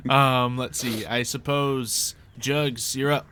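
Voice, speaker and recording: A man's voice: medium pitch, steady tone, extremely slow, pushed and bright, slight background noise. A man running a tabletop roleplaying game as game master, narrating in a playful, descriptive way among friends.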